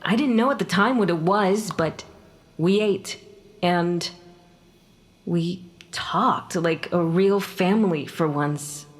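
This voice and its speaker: An actress playing the wounded, bitter ghost of a dead mother in an audio drama, speaking haltingly and with emotion. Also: lowish voice. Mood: haunted, remembering. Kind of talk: naturalistic audio drama acting.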